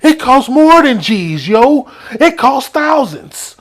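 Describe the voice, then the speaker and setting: A man imitating a slow-witted young New Yorker who argues in earnest, emphatic and drawn out, in a storytelling bit. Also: very high voice, very animated, a bit bright, voice pushed up, full character voice. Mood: earnest, insistent. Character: dim-witted young New Yorker arguing, dead serious.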